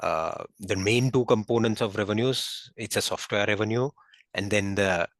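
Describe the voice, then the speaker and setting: A man speaking as a finance executive on an investor call, explaining in a halting, measured, unscripted way. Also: fairly low pitch, fairly bright tone, no room sound. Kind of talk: unscripted business earnings call.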